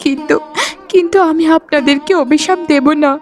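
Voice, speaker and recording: A woman voicing a meek, heartbroken wife in a cartoon melodrama, tearful and halting, repeating her words as she pleads. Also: high voice, soft background music, lightly acted. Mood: pained, forgiving. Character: meek, wronged, heartbroken wife, forgiving and humble.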